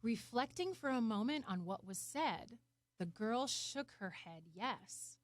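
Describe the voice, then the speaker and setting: A woman narrating a children's story in an expressive, deliberate way. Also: on the high side, dry recording. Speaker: storybook reader.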